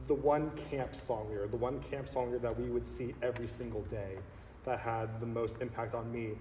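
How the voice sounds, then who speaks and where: A man in his thirties, a songleader talking earnestly and reflectively as a guest in a casual interview, pausing often to find his words. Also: mid-range voice, darkish tone. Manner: earnest, reflective, halting with fillers. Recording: echoey hall with faint noise.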